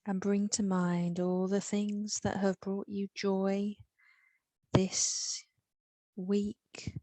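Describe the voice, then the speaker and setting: A woman with an English accent leading a guided meditation, soothing and unhurried, with long pauses. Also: medium pitch, little pitch movement, mellow, dry recording.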